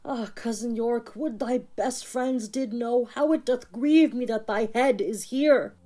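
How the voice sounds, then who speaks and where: A woman playing a gentle, war-weary king in a Shakespearean audio drama, speaking mournful, measured verse. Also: on the high side. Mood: sorrowful resolve.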